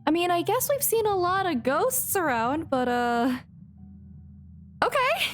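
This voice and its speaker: A woman's voice: very high-pitched, very animated, measured pace, faint music behind. A woman streaming a game, giving casual live reactions in hesitant, musing asides.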